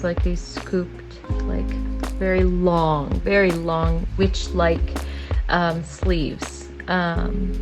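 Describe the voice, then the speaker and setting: A woman speaks slowly in a casual voice message, hesitating often and filling pauses. Her voice sits a little low. Background music plays beneath her. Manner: rambling, hesitant, many fillers. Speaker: guest. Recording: music playing under voice.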